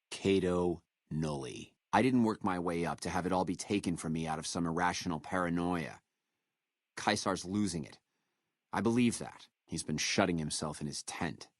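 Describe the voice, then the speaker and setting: Captive military officer, low-pitched, menacing, proud, scornful, measured, video game dialogue, dry recording. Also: male voice, no echo. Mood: bitter, proud. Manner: contemptuous, measured, menacing delivery.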